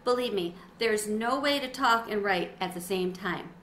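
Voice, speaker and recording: A woman's voice: mid-range voice, moderate pace, a bit bright, natural voice. A woman reading the part of a kindly, firm female schoolteacher in a storybook, encouraging and orderly. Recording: roomy echo, faint background noise.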